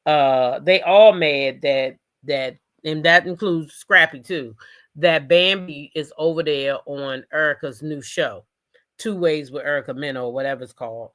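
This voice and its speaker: A woman's voice: low-pitched, unhurried. An American woman doing casual live gossip commentary as host, sassy and emphatic, often repeating phrases for emphasis.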